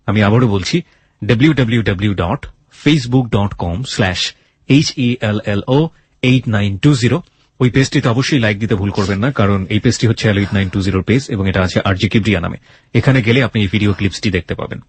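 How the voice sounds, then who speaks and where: A man hosts a radio show. His voice sits fairly low and has a husky grain. He addresses the audience directly, in a dry studio sound. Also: a little fast, no echo. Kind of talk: radio hosting, addressing listeners.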